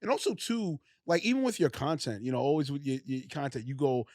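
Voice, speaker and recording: A man's voice: a little high, sing-song, fairly quick, a little mellow, no room sound, clean studio sound. Slangy, rambling male podcast host. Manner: loose, slangy, rambling questions full of fillers. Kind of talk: casual interview chat.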